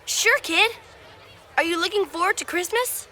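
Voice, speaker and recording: A woman's voice: extremely high pitch, moderate pace, bright, forceful tone, slight background noise. A woman playing an easygoing, big-buddy time traveler in a children's Christmas puppet show. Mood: breezy, kindly.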